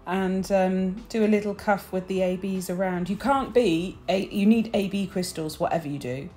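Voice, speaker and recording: A woman with a croaky early-morning voice, chatting bubbly through a nail art tutorial and sharing tips. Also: medium pitch, normal speed, warm tone, soft background music. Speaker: nail technician.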